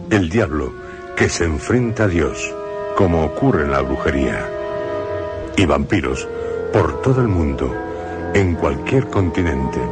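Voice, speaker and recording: A man with an extremely deep voice narrates a radio story in a measured, solemn manner. Music plays clearly beneath his words.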